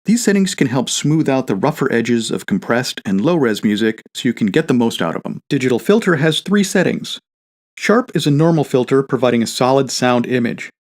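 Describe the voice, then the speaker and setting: A man reading a scripted product tutorial as a demonstrator, in a clear step-by-step instructional way. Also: medium pitch.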